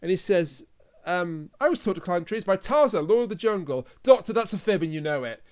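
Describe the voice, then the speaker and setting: A man's voice: high voice, big pitch swings, a little fast, darkish tone. A man co-hosting a podcast, recapping a story in casual review talk and acting out quoted lines as he goes. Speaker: podcast co-host.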